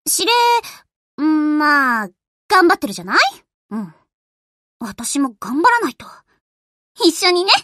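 A woman's voice: high-pitched, sing-song, a little slow, pauses often, bright, forceful tone, no echo, clean studio sound, full character voice. A woman voicing a spirited, confident teenage destroyer girl in an anime game, perky, assertive and a little bossy. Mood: annoyed, then warm, shy. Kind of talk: Japanese anime game voice acting.

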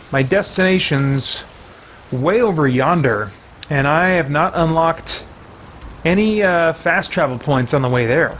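A man's voice: on the high side, a bit bright. A middle-aged man giving casual let's play commentary as a gaming host. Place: indoor room with bookshelves. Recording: faint noise, game ambience behind.

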